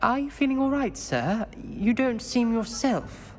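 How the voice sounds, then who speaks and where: A man's voice: extremely high pitch, animated, unhurried, a little hiss or hum, full character voice. A man playing a polite, uneasy teenage schoolboy wizard in a video game, deferential and hesitant, in a reverberant space. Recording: long echoing hall reverb.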